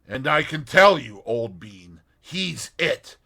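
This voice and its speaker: A man voicing a cheerful, brisk young man in an audiobook, breezy and matter-of-fact. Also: medium pitch, big pitch swings, gravelly, pushed and bright, voice pushed up, heavily acted. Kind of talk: audiobook character voice.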